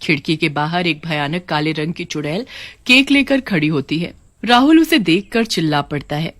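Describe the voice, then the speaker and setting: A woman narrating a scripted cartoon horror story in an even, storybook manner. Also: sits low, normal speed, no room sound.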